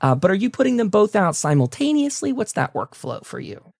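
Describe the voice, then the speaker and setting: A man's voice: high-pitched, sing-song, brisk, vocal fry, darkish tone, dry recording, clean studio sound. A man hosting a conversational interview, asking questions in a polite, roundabout way. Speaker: talk show host.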